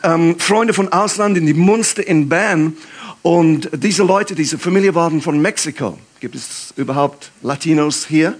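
A man from England, a guest pastor, preaching in German with an English accent, lively and halting with fillers. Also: on the high side. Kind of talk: sermon.

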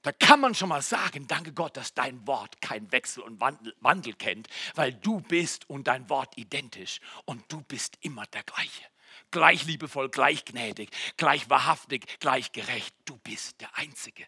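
A man in his forties preaching a sermon in church, jumping from loud exclamations to hushed near-whispers and speaking straight to the congregation. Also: medium pitch, lively intonation, unhurried, creaky, husky, no room sound, studio quality. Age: 40s. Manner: addresses the congregation directly with jokes and questions. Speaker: pastor.

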